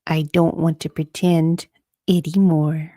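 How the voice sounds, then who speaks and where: A woman voicing a nervous, smitten woman in a steamy romance drama, hesitant and breathy. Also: low voice, level tone, takes it slowly, warm tone, no echo, studio quality. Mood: nervous, daring.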